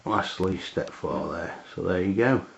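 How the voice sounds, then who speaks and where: A man with an English accent giving a casual hands-on product review in a halting, matter-of-fact way. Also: low-pitched, vocal fry, a little hiss or hum. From England. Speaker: amateur radio reviewer. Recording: dull, lo-fi camera sound.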